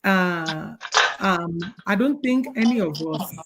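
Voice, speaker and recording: A woman's voice: fairly low pitch, animated, slow pace, very noisy. Woman hosting a panel chat. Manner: earnest, code-switches with many fillers. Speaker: host. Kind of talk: online panel discussion.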